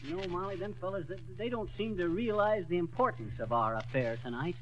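A man's voice: high voice, animated, fairly quick, dull audio. An actor playing a folksy, pun-loving middle-aged husband who laughs at his own jokes in a classic radio comedy. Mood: cheerful. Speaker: comedy lead.

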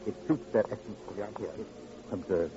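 An actor playing a pompous, unctuous funeral director in an old-time radio drama, with flowery, solemn, fussily genteel speech. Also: male voice, fairly low pitch, brisk, close to an everyday voice. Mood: smugly genteel. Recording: muffled vintage radio recording, faint noise.

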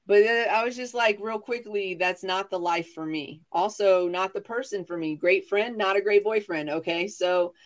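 A man's voice: sits high, moderate pace, hardly any pauses. Sassy, dramatic man in his forties. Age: 40s. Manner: sassy, dramatic, self-mocking. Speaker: guest on a chat show. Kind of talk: casual comic storytelling.

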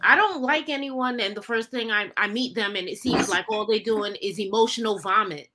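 A woman's voice: mid-range voice, moderate pace, sharp tone, slight background noise, rough recording. A woman in her forties giving her opinions in a casual group conversation. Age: 40s. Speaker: panelist on a TV recap livestream.

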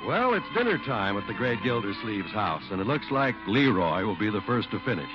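A man's voice: lowish voice, sing-song, brisk. A man announcing on 1940s radio with a polished, emphatic, scripted sales delivery. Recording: muffled old radio broadcast recording. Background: prominent music.

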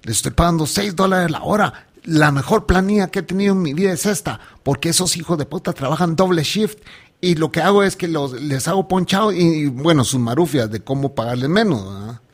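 A man's voice: a little high, fairly quick. A middle-aged Guatemalan man co-hosting a podcast, chatting casually, swearing freely and dropping English words. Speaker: podcast co-host. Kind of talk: casual chat.

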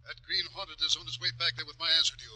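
An actor playing a proud, indignant older district attorney in a 1940s radio drama, speaking stiffly and formally. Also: male voice, on the high side, level tone, rapid-fire, pushed and bright, a little hiss or hum, rough recording.

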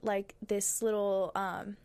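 A teenage girl, a young dancer, answering interview questions in a hesitant, soft-spoken way with frequent fillers. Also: mid-range voice, flat delivery, slow, vocal fry, clean tone, no echo. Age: teens. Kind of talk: casual interview.

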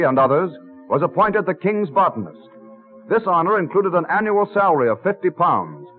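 A man's voice: on the high side, phone-quality sound, natural voice. A man giving scripted narration for a vintage radio drama. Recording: thin old radio recording.

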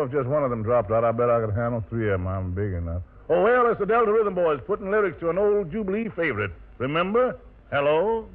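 High-pitched, animated 1940s radio jive host, man. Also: phone-quality sound. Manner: slangy, jokey showman patter. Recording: band-limited vintage 1940s radio recording.

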